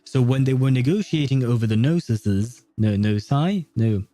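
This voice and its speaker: A male game streamer talks casually to chat in a mid-pitched, mellow voice. His delivery is informal and rambling. The sound is dry, with faint music underneath. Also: normal speed, dry recording. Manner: informal, rambling, mild swearing. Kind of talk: casual livestream chat and commentary. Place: indoor streaming setup, dry.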